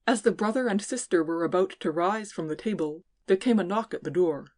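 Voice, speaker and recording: A woman's voice: on the low side, a little fast, a little mellow. A woman giving scripted narration of classic fiction as an audiobook narrator.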